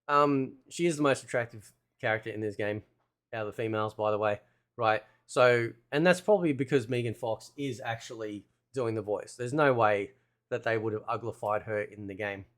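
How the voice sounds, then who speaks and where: A man's voice: fairly low pitch, moderate pace, a bit bright. Casual male gaming host, rambling commentary. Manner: rambling, thinking aloud. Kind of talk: casual game trailer commentary.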